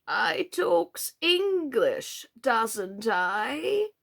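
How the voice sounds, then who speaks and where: A woman voicing a very old male frog with a deep, hoarse, slow drawl and muddled grammar. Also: sing-song, a bit bright, full character voice. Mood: grumbling. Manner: ungrammatical, muttering.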